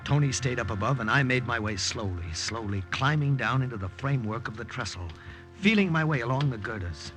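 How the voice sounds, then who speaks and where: A man's voice: mid-range voice, expressive, brisk, a bit bright, lightly acted. A man playing an earnest, brusque wartime saboteur in a 1950s radio drama, both acting and narrating. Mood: tense narration. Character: tense, brusque male secret agent saboteur. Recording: old radio recording, music behind.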